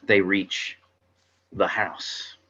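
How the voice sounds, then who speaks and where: A man's voice: deep voice, steady tone, drawn-out words, bright, forceful tone, slightly rough. A man reading stage directions as narrator at a table read of a script, on a dull microphone.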